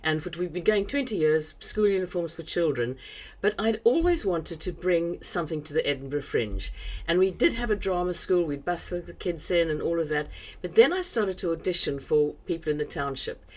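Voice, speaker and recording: A woman's voice: lowish voice, animated, normal speed, darkish tone, poor audio. An older woman with a South African accent mixed with English, talking earnestly and emphatically as an interview guest. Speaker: guest, theatre show creator. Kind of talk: conversational interview.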